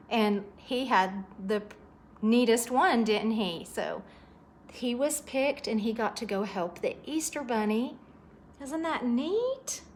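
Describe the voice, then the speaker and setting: A woman's voice: a little high, a bit bright, slight background noise. A woman reading a picture book aloud to young children, expressively, with chatty asides and questions to her listeners.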